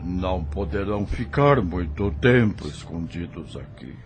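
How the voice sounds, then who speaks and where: A man playing a steady, protective ally of fugitives in an audio drama, grave and reassuring. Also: low-pitched, unhurried, soft background music.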